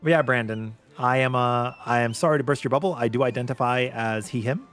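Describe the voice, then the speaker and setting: A man with a light voice, often taken for a girl's, chatting casually with viewers as a VTuber streamer, animated, with quick laughs. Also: medium pitch, faint music behind. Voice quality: light voice he says is mistaken for a girl's. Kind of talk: casual livestream chat with viewers.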